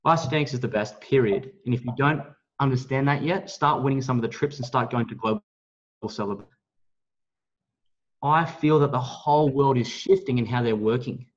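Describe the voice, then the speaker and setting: Man, dull lo-fi call sound, emphatic, blunt, salesy, motivational pitch, mildly profane, average pitch. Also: moderate pace, long pauses. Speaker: network marketing leader, guest speaker on a team call.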